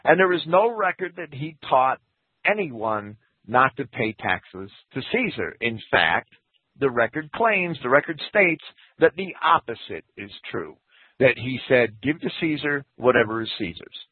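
A man's voice: a little slow, breathy edge, no echo. A man giving a Bible commentary in a lecturing way, reading scripture quotes aloud. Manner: lecturing, reads quotes aloud, lively pitch. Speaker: Bible teacher. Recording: tinny phone-like radio broadcast.

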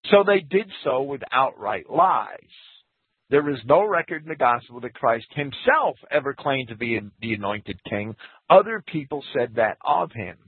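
Male scripture teacher, husky, animated pitch, dry thin radio sound. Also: measured pace, no echo. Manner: lecturing, reads quotes aloud, lively pitch. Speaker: Bible teacher. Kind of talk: scripture commentary talk. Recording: tinny phone-like radio broadcast.

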